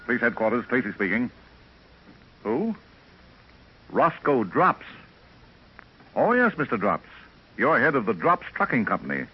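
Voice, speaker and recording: A commanding police detective in clipped 1940s radio style. His pitch moves widely, and the old recording is dull and faintly noisy. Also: breathy edge, full character voice.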